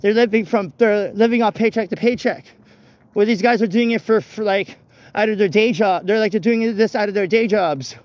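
A man rambling casually and haltingly into a handheld phone as he walks outdoors, often repeating his phrases. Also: sits high, darkish tone, dry recording. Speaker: vlogger. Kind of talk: casual rambling walk-and-talk chat. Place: outdoors walking along a street at night. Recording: noisy outdoor phone, traffic ambience. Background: vehicles and street ambience.

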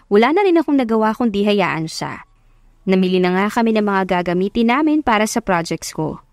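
A woman's voice: mid-range voice, big pitch swings, fairly quick, dry recording, studio quality. A woman reading a scripted first-person love story as an audiobook narrator.

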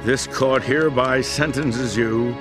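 A man's voice: medium pitch, takes it slowly, close to an everyday voice. A man playing a solemn, formal judge. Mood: stern. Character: stern male judge, older. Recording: game audio with loud music.

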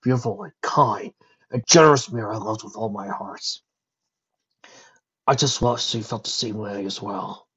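A man's voice: fairly low pitch, normal speed, pauses often, fairly bright tone, slightly rough, highs cut off. Man acting a subdued, lovesick male dragon. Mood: wistful, sheepish. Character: gentle lovesick male dragon, accursed and sad.

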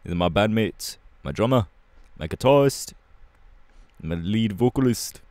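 A man voicing a laid-back but irritable young punk superhero bassist, slangy and drawling, in an audio roleplay. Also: expressive, a little slow, a little mellow, no echo. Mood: casual, dismissive. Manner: slangy, casual, drawling with hesitations.

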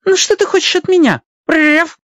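Radio-play young fox: squeaky-high, proud, distrustful, yappy delivery. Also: expressive, normal speed, no room sound, studio quality. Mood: wary, demanding. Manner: punctuates speech with sharp yelps. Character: proud, suspicious young male fox, yapping and defiant.

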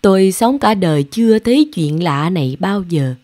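A woman voicing a doubtful old male servant giving cautious advice in an animated folk tale. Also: on the low side, moderate pace, lightly acted.

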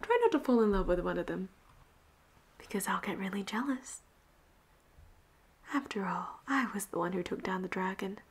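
Woman acting a breathy, flirty female pirate captain. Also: mid-range voice, sing-song, heavily acted. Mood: possessive, affectionate. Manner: teasing, drawn-out endearments, often drops to a whisper. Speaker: voice actor in roleplay audio. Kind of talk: flirty roleplay audio acting, often whispered.

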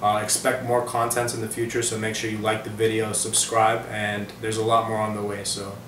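A man's voice: on the low side, fairly quick, background noise. A young man in his twenties giving casual, rambling dating advice to camera from his living-room couch. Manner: relaxed, rambling, many fillers. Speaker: dating coach vlogger. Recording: roomy echo from far off the mic.

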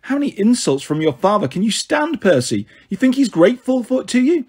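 A man voicing a smug, smooth-talking college-age villain in a storybook, with a taunting manner. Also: sits high, big pitch swings, brisk, darkish tone, pitched above the performer's own voice, heavily acted. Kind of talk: storybook character voice.

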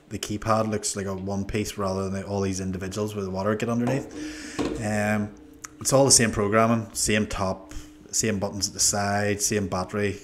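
A man in his forties with a Northern Irish accent giving a casual, low-key, hesitant product review into a desk microphone. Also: slight background noise. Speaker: review channel host. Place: small indoor room. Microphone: desk microphone on a boom arm.

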